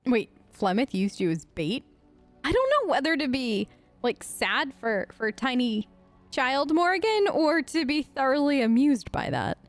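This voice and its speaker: A woman improvising in-character role-play chat for a let's play, casual and halting. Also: high voice, sing-song, soft background music. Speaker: let's player role-playing game dialogue aloud.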